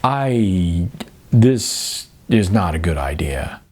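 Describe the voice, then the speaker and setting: A man voicing an awkward, gentle middle-aged man in an audiobook, halting and hesitant as he speaks. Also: sits low, lively intonation, slightly rough, full character voice. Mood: flustered.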